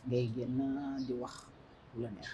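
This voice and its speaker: A middle-aged woman from Senegal with a Senegalese accent, a guest in an interview, speaking in a measured, thoughtful way with frequent hesitations. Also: rumbling low, steady tone, crowd noise. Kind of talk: casual interview talk mixing French and Wolof.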